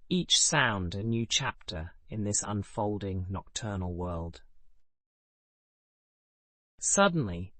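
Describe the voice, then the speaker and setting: A man narrating a scripted bedtime story in a calm, measured way, his sentences falling away at the ends. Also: on the low side, no echo.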